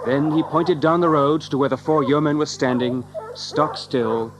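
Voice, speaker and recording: A man's voice: fairly high pitch, fairly even intonation, moderate pace, background noise. A man narrating an adventure audiobook in a storytelling manner with old-fashioned literary phrasing. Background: music under the narration.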